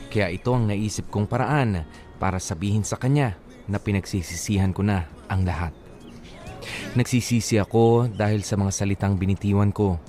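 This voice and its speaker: A man acting lovelorn letter writer, low voice, Filipino accent, measured, heartfelt radio narration, background music. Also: darkish tone, lightly acted.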